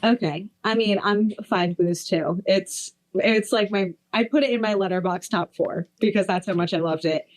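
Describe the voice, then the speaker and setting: A woman's voice: medium pitch, moderate pace, hardly any pauses. A woman in her late twenties, a podcast guest, chatting casually and rambling eagerly with lots of fillers. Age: 20s. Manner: rambling, enthusiastic, many fillers. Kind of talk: casual chat.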